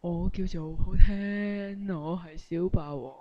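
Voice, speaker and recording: A woman's voice: fairly low pitch, takes it slowly, no echo. A woman playing cheeky, boastful schoolmates in an amateur comic radio skit, with a playful, exaggerated delivery. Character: boastful, cheeky schoolmates with teasing catchphrase comebacks. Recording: dull amateur recording with background noise.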